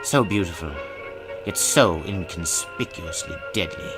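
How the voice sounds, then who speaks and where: A man voicing a mysterious, courteous gentleman with veiled menace, speaking in a measured, formal, knowing way. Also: low-pitched, poor audio. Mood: knowing, sinister. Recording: music behind the voice.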